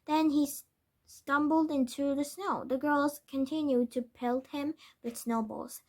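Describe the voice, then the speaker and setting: A woman narrates a children's storybook in a high-pitched voice. Her pace is slow and halting, and she stumbles over words and corrects them as she goes. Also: fairly even intonation. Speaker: read-aloud storyteller. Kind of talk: scripted children's book narration.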